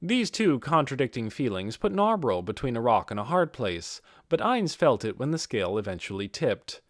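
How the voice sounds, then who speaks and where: Expressive male audiobook narrator, lively pitch. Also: moderate pace, no room sound, clean studio sound. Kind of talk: expressive scripted fiction narration.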